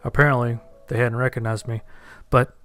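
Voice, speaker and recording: A man narrating a first-person horror story from a script in a measured, matter-of-fact way. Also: mid-range voice, level tone, fairly bright tone, faint music behind.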